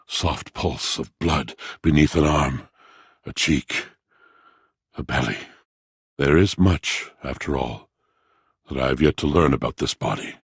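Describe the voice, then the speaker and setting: An actor playing a lovelorn, poetic washing machine in a video game, with florid, dramatic phrasing and a husky voice. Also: male voice, a bass voice, no echo, clean studio sound, heavily acted. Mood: tender, romantic. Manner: florid, grandiloquent, dramatic phrasing with weighty pauses. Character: lovelorn, poetic, theatrical male washing machine come to life. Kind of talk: theatrical video game character acting.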